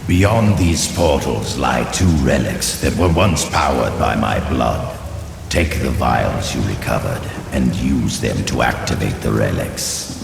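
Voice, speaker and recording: A man voicing an ancient, scheming sorcerer who speaks slowly and condescendingly with a deep, gravelly voice. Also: lively intonation, noisy background, heavily acted. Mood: instructive. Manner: slow, measured, condescending. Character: ancient scheming male Horadric sorcerer, silky and proud. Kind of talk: video game cutscene dialogue. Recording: roomy game mix with music.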